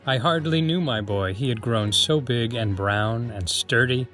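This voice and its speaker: A man playing a courteous middle-aged father in a dramatized audiobook, formal and measured. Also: medium pitch, a bit bright, close to an everyday voice. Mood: fond. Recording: dry voice with music under it.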